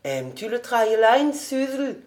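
A woman voicing a warm-hearted, tipsy gentleman, fondly insistent and coaxing, in an audiobook. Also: a little high, very animated, a little slow, voice pushed up. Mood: tender, merry. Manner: murmuring, coaxing. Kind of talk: audiobook storytelling.

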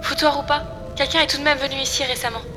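A practical female AI companion from a science-fiction audio drama, played by a woman. She speaks high and quickly in a cool, clipped, sardonic manner, with music clearly behind her. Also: fairly even intonation, pushed and bright. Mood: cool, observant.

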